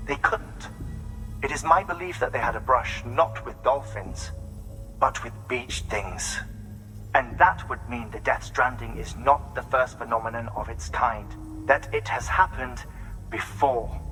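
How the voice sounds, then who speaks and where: Bright-voiced, eager scientist lecturing. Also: male voice, medium pitch, a little slow, soft background music, poor audio. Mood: excited, theorising. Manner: lecturing, articulate, asks and answers own questions. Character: eager, intellectual male scientist theorising about extinction. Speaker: scientist character. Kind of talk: video game dramatic voice acting.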